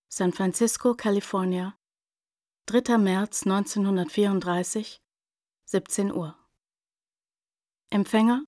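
A woman's voice: medium pitch, a little mellow, dry recording, studio quality. A woman narrating a radio drama, reading scripted details in a matter-of-fact way.

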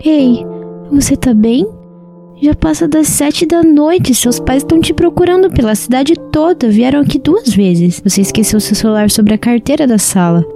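A woman's voice: sits high, normal speed, dark tone, faint music behind. A woman playing a concerned, matter-of-fact cleaning lady in an audio drama.

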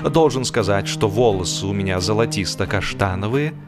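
A man reading a humorous story as an audiobook narrator, in an expressive, measured storytelling manner. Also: on the low side. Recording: music behind the voice. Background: instrumental music.

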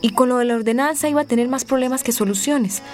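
A tender young migrant woman played by an actress in a radio drama, coy and hesitant. Her voice is fairly high, with music playing behind. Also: fast, a little mellow, close to an everyday voice. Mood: reflective.

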